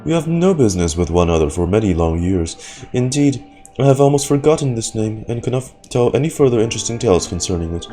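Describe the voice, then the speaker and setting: A man's voice: lowish voice, sing-song, fast, dark tone. A man voicing an ancient giant mushroom creature from a game, solemn and formal in archaic phrasing. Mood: solemn, stately. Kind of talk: reading game dialogue aloud. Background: game music.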